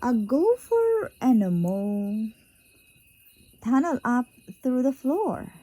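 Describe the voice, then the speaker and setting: A woman's voice: a little high, very animated, takes it slowly, warm tone, low-quality recording. A woman reading a children's picture book aloud expressively, drawing out key words in a sing-song way. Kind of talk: expressive storybook read-aloud for young children.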